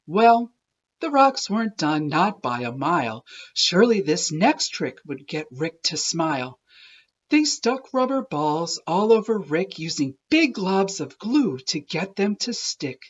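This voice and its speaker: Woman, children's read-aloud, very lively pitch, unhurried sing-song rhyme, slightly dull recording. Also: breathy edge. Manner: expressive, deliberate, sing-song rhyming delivery. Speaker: children's book reader. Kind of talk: animated storybook read-aloud of a rhyming tale.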